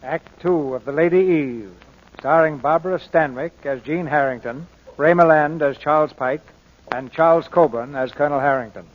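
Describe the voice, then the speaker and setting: High-pitched male narrator over clear music. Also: moderate pace. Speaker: radio host and narrator. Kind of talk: 1940s scripted radio drama narration. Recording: muffled 1940s radio broadcast over music.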